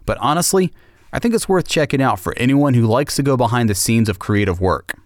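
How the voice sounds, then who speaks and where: Podcast host narrating, dry close sound. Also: male voice, mid-range voice, animated, brisk, no echo. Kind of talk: scripted narration.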